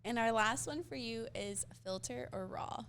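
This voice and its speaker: A woman's voice: on the high side, moderate pace, fairly bright tone, slight background noise. A woman hosting a casual interview, upbeat and friendly as she asks her guest questions. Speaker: host.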